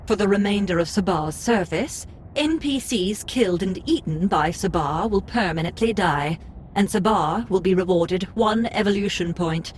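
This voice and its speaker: A woman voicing a cool, formal game system interface in an audiobook, announcing its notices in a measured way. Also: medium pitch, slight background noise, close to an everyday voice.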